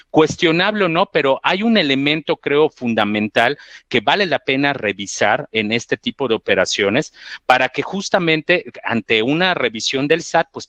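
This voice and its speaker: A man's voice: medium pitch, a bit bright, no room sound. Mexican man presenting, close dry sound. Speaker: webinar presenter, transfer pricing specialist. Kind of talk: explanatory professional webinar presentation.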